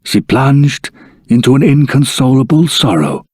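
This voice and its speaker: An actor playing a wise, earnest old grandfather from a dragon folk in a video game, speaking formally in a husky, rough-edged voice. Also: mid-range voice, very animated, darkish tone, dry recording, studio quality. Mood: sorrowful, reminiscing. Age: older. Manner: formal, measured, deliberate storytelling. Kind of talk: scripted video game voice acting.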